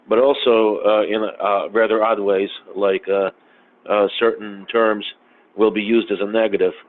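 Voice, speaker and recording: A man in casual podcast conversation, talking haltingly with many fillers and restarts. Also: fairly low pitch, level tone. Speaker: podcast guest. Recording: tinny phone-like sound, faint noise.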